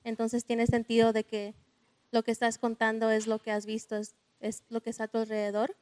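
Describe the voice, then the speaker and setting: Hesitant Mexican-American woman, flat tone. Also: on the high side, a little fast, clean tone. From United States. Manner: hesitant, searching for words. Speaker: audience member. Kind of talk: audience comment at a book talk.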